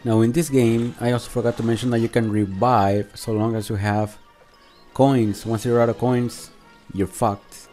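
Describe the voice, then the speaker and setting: A man giving casual, unscripted gameplay commentary as a channel host, talking in a halting, conversational way. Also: medium pitch, flat delivery, dark tone, faint music behind. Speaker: video game review channel host.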